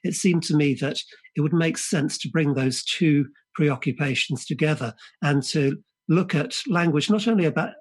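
A man whose first language is Polish, a guest author speaking in a podcast interview, thoughtful and halting, often restarting and repeating words. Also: a little high, husky.

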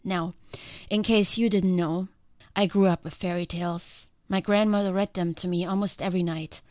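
A woman's voice: fairly low pitch, normal speed, no echo, clean studio sound. Congested woman hosting a reflective podcast. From outside the country she now lives in. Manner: calm, earnest and reflective. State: congested from a sinus infection. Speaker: podcast host. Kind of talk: reflective solo podcast monologue.

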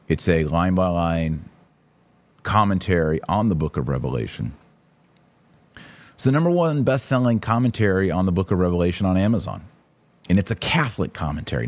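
A man's voice: low voice, a little mellow. A man hosting a podcast in a casual, blunt, conversational livestream style, talking directly to his viewers. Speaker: podcast host and author. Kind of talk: casual livestream commentary, reading viewer comments.

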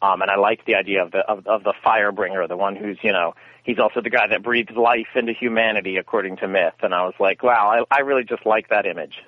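A man's voice: on the low side, fairly even intonation, quick pace, dry recording. Rambling man on a tinny phone line. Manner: rambling, self-correcting storyteller. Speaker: guest, radio theater founder. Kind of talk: conversational interview answers.

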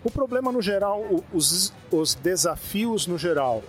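Man, Brazilian Portuguese accent, relaxed, halting, slightly high pitch, faint music. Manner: relaxed, halting, thinking aloud. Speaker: interview guest. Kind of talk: casual conversation. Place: conference event venue.

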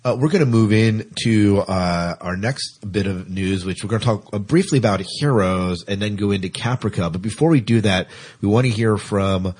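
A man co-hosting a podcast in casual panel chat, with a husky voice. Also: fairly low pitch, moderate pace, darkish tone. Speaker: podcast co-host.

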